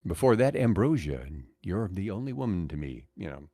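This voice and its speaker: Guy doing a cheesy smooth american yacht rock radio dj, soft crooning patter, deep. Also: big pitch swings, a little fast, dry recording, studio quality, heavily acted. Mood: smooth, suave.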